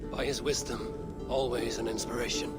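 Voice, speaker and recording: A man playing a resolute Viking warrior in a video game cutscene, speaking in a measured, commanding way. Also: medium pitch, flat delivery, over loud music, close to an everyday voice. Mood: measured, curious. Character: resolute Viking warrior, stern adult male peacemaker.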